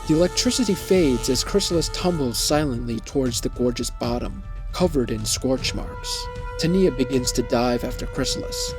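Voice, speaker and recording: A man reading scripted story narration in a measured, descriptive manner. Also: medium pitch, lively intonation, music in the background.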